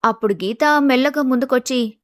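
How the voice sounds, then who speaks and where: A woman's voice: sits high, brisk, dry recording, clean studio sound. A woman reading a scripted family story as its narrator.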